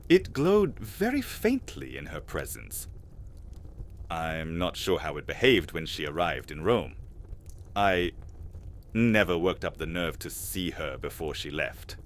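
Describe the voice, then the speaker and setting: A man playing a nervous, wistful vampire alchemist who tells stories with a swinging pitch. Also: very animated, measured pace, exaggerated voice. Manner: storytelling, wistful, then stammering. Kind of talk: audio drama acting.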